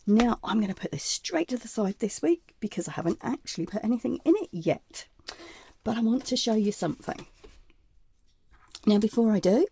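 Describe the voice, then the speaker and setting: Croaky, gravelly-voiced woman host. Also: fairly high pitch, expressive, normal speed, mellow. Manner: chatty and meandering, with frequent ums and asides. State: croaky voice from a chest cold. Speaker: craft channel host. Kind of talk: casual craft tutorial.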